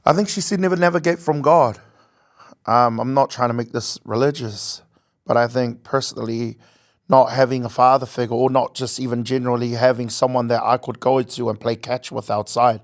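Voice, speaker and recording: A man's voice: medium pitch, fairly even intonation, moderate pace. Earnest young New man guest. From American Samoa, raised in New Zealand. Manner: earnest, reflective, with jokey asides. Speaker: guest, content creator. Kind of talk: casual interview chat.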